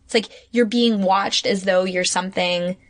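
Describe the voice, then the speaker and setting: A woman's voice: mid-range voice, fairly even intonation, normal speed. A woman co-hosting a podcast, talking through ideas in long, winding, reflective thoughts. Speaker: podcast co-host. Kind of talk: casual chat.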